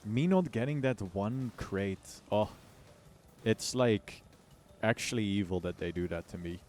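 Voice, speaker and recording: Slow, low-pitched American man streaming a game. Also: a little mellow, a little hiss or hum. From United States. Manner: joking, slangy, exaggerated mock complaints. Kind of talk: casual livestream chat while gaming. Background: music behind the voice.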